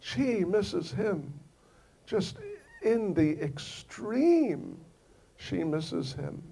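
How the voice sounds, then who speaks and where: An older man from New Zealand giving a devotional scripture lecture, telling the story gently and slowly with soft laughs and repeated phrases. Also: high voice, very animated, gravelly, slightly rough.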